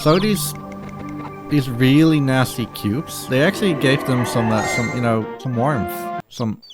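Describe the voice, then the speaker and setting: A man giving casual live commentary as he speedruns a game, halting and repeating phrases as he goes. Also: medium pitch. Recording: game music behind the voice.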